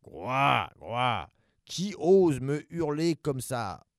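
A man voicing a huge grumbling forest monster with a slow booming growl. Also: very animated, no echo, clean studio sound, heavily acted. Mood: menacing.

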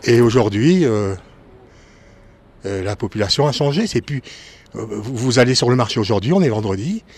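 A man from northern France, a former cook, giving frank opinions slowly with hesitations. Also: medium pitch, lively intonation, a little mellow, breathy edge. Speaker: interviewed resident, former cook. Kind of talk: street interview.

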